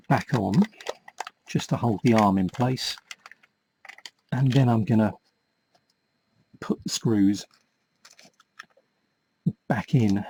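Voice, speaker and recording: A man with a slightly husky voice giving a casual step-by-step repair demonstration, explaining patiently and a little hesitantly as he works with his hands. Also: lowish voice, dark tone, low-quality recording. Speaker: host of a toy repair tutorial.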